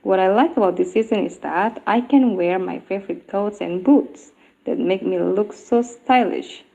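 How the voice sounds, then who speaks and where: Indonesian woman, dark mellow voice, slow careful intro, muffled lo-fi sound. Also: mid-range voice, vocal fry. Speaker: tutor introducing herself. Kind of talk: read self-introduction. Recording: dull, lo-fi recording.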